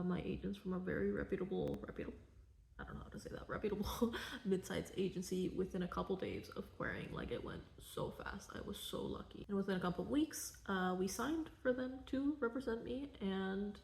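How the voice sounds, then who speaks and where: Young woman vlogger from Venezuela; gravelly low edges, candid and soft-spoken, roomy echo. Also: slight background noise. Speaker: vlogger, cartoonist. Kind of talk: casual personal vlog. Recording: echoey room.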